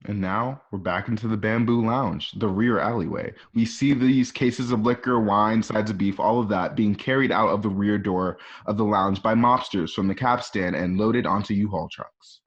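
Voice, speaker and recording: A man's voice: lowish voice, moderate pace, hardly any pauses. A man reading scripted scene descriptions as the narrator of a radio play. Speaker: narrator reading stage directions. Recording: muffled home recording.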